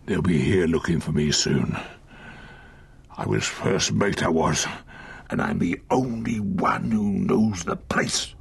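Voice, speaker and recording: An actor playing a sick, drunken old sea captain in a radio drama, muttering slow sailor talk in a raspy, gravelly voice, gruff and wheedling. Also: male voice, deep voice, heavily acted. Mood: secretive, urgent.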